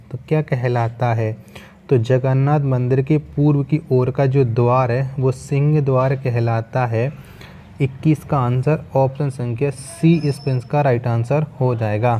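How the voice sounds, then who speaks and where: A man's voice: mid-range voice, dark tone. A man teaching an exam-prep quiz, reading out questions and answers and repeating them in an instructional way.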